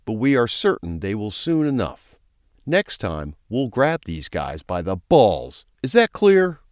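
A man's voice: fairly low pitch, wildly swooping pitch, measured pace, a little mellow, no echo, studio quality. A man playing a brusque, foul-mouthed, sarcastic police chief in a crime audio drama. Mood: scornful, commanding. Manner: brusque, commanding, crude briefing delivery.

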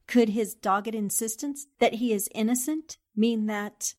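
A woman's voice: a little high, a little slow, darkish tone, close to an everyday voice. A woman playing a determined, earnest young newspaper columnist in a 1940s-style radio drama. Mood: earnest dictation. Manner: earnest, insistent.

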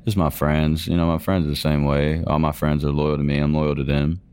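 A young man, a country singer giving quiet, brief and understated interview answers. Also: extremely low pitch, level tone, a little fast, smooth. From Georgia, US.

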